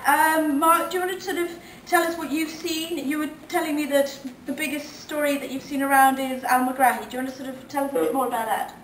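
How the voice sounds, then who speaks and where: High voiced woman running a radio team meeting, sounds far off in a roomy space. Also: steady tone, fast, hardly any pauses, a bit bright. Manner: leads discussion, asks questions, hesitant ums. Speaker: radio show editor. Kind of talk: editorial meeting chat. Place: conference meeting room. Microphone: distant room mic. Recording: echoey room from far off, faint noise.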